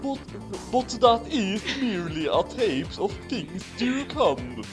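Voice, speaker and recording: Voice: mid-range voice, sing-song, slow pace, over music. A person reading a fanfic aloud with comedic drama and casual asides, stumbling over misspelled text. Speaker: fanfic reader.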